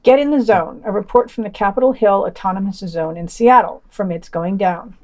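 A woman's voice: mid-range voice, a little fast. A woman podcast host reading a scripted news roundup, including quoted excerpts.

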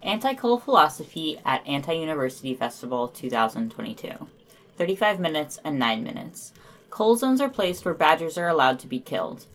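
A woman's voice: sits low, very animated, brisk. A woman hosting a podcast, reading scripted news items and reviews with her own commentary.

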